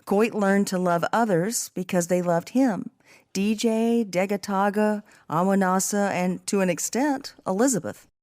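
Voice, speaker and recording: A woman's voice: mid-range voice, a little mellow. A woman hosting a podcast with scripted, reflective commentary in an earnest, personal, devotional manner. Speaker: podcast host.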